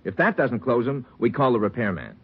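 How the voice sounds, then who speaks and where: Husky, helpful elevator operator. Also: male voice, medium pitch, big pitch swings, brisk. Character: helpful, plain-spoken city hall elevator operator. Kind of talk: 1940s radio drama acting. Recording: muffled old radio recording.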